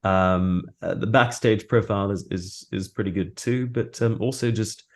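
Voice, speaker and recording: Male guest, very low voice, thoughtful and halting in casual conversation. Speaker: interview guest, voice actor and audiobook narrator. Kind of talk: casual interview chat.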